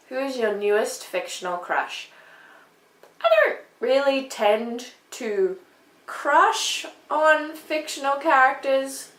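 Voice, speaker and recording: A woman's voice: on the high side, a bit bright. A woman book vlogger chatting casually to camera, emphatic, often repeating words to make her point. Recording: echoey room.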